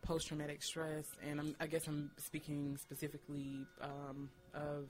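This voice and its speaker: A man's voice: a little high, monotone, normal speed. A man speaking earnestly and reflectively in conversation, feeling out his phrasing with frequent filler phrases. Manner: hesitant, thoughtful phrasing with frequent "you know". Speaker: doula and discussion participant.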